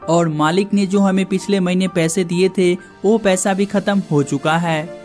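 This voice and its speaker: A man's voice: sits high, fairly even intonation, unhurried, darkish tone, soft background music. A man voicing the brothers and villagers of a cartoon story in plain conversational dialogue.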